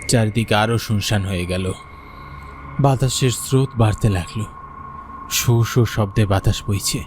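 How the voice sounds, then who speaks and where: A man's voice: lowish voice, normal speed, background noise, lightly acted. An actor playing a frightened young man who tells his own horror tale in a suspenseful way, stammering when afraid. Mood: uneasy suspense. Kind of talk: dramatic first-person horror story narration.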